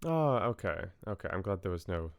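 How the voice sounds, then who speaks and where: Very deep, smooth-voiced man chatting casually. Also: sing-song, fairly quick, no echo, studio quality. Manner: friendly, chatty, hesitant, trailing asides. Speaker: livestream host. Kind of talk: casual chat with live viewers.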